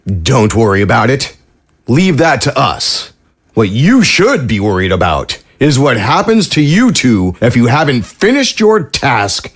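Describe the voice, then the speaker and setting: An actor playing a cold, smug government agent who delivers threats in an audio drama. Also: male voice, medium pitch.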